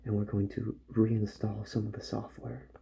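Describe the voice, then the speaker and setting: A man doing a soft-spoken ASMR roleplay as a calm, methodical repair doctor, musing gently to himself and often drifting into a whisper. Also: fairly low pitch, moderate pace, gravelly. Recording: muffled audio with faint background noise.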